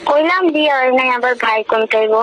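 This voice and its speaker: A woman's voice: high voice, fast talker, a bit bright, poor audio. A woman with a Bangladeshi accent chatting casually on the phone, giving short, bantering replies. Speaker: prank call recipient.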